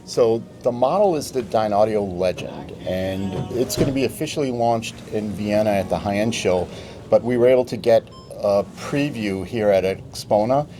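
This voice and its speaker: A man giving a measured, articulate product presentation as a company representative at a trade show. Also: on the low side, background noise. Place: indoor exhibit room at audio show. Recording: music playing in a show room. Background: loud music.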